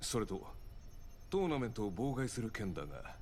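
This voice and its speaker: A man's voice: lowish voice, big pitch swings, normal speed, a little mellow, a little hiss or hum. An actor playing a stern male commander and mentor who speaks in clipped, commanding lines in a game cutscene.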